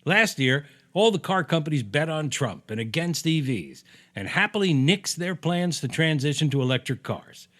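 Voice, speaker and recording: A man's voice: fairly high pitch, sing-song, normal speed, a bit bright. An American man reading his own opinion piece aloud in a punchy, sarcastic, salty-tongued way, as a podcast host.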